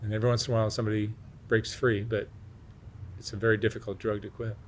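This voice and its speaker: A man's voice: on the low side, little pitch movement, fast talker, slight background noise. A man interviewing someone, asking short direct questions. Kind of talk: documentary interview.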